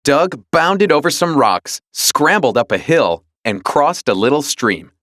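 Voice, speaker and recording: Male storybook narrator for young readers: animated, dramatic, mid-pitched, dry studio-style sound. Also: a little slow, no echo. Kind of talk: scripted children's read-along narration.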